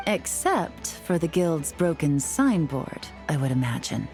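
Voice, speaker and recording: A woman playing a composed, wry detective agent in a video game, speaking in a cool, measured, dryly sly way. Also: low voice, very animated, a little mellow, soft background music. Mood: sly, businesslike. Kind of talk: video game character dialogue.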